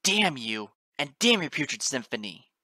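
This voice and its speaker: Furious fantasy pony hero played by a male narrator: raised, forceful, bright shouting, desperate and defiant. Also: medium pitch, sing-song, moderate pace, no room sound, voice pushed up, exaggerated voice. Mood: enraged, scornful. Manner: shouting, snarling, ranting. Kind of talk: dramatic fantasy story reading.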